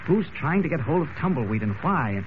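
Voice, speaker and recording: An actor playing an earnest newspaper reporter who thinks aloud, in 1940s radio drama style. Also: male voice, a little high, very animated, fairly quick. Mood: puzzled. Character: earnest newspaper reporter, adult man, pondering a mystery. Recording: noisy, tinny old radio recording.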